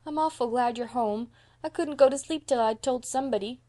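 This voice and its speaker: A woman's voice: fairly high pitch, lively intonation, normal speed, voice pushed up, natural voice. A woman voicing a small mischievous boy in an audiobook, earnest, guilty and blunt, with childish, emphatic grammar slips. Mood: miserable, pleading. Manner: childish ungrammatical speech, emphatic. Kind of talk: audiobook character voice.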